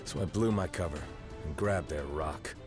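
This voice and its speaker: A veteran spy hero from a video game. His low voice is gruff, dry and matter-of-fact, with clear music behind it. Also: moderate pace. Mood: wry urgency. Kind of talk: video game cutscene acting.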